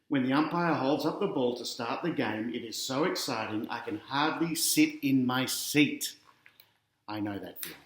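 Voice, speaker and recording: A man's voice: medium pitch, roomy sound. An Australian man reading a children's picture book aloud, adding chatty asides and talking directly to young listeners.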